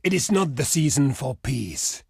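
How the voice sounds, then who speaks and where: Gravelly, proud barbarian chieftain. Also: male voice, medium pitch, very animated, measured pace, darkish tone, no echo, clean studio sound, heavily acted. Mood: firm, measured refusal. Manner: solemn, declamatory, archaic phrasing. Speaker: video game character. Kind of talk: dramatic game diplomacy voice acting.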